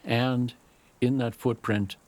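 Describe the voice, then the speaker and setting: A man reading a scripted dramatic story as a storyteller, in a measured, literary manner. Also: mid-range voice, level tone, darkish tone.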